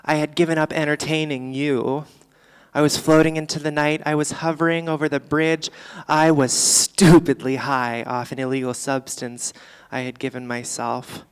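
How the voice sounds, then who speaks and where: A performer playing a boozy, world-weary middle-aged female comic, doing a rambling, deadpan stand-up monologue live on a theatre stage. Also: mid-range voice, moderate pace, smooth, big-room sound, lightly acted, poor audio. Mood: confessional, swaggering. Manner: rambling, deadpan, theatrical, swinging between asides and outbursts.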